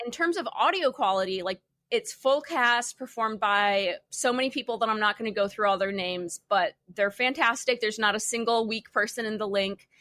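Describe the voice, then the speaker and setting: A young adult woman chatting casually as a podcast panelist, telling things in an informal, wry and animated way. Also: medium pitch, normal speed, few pauses, no room sound. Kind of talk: casual chat.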